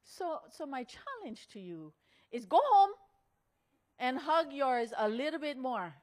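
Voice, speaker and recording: A middle-aged or older woman, giving a motivational storytelling speech in an earnest, deliberate way with dramatic pauses. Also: high voice, sing-song, a little mellow. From Hawaii. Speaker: speaker at a women's conference.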